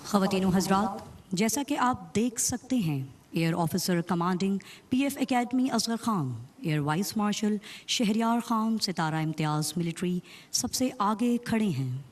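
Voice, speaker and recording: A woman with a Pakistani accent giving formal, measured, scripted commentary on a ceremony. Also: mid-range voice, expressive. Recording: music behind the voice. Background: music.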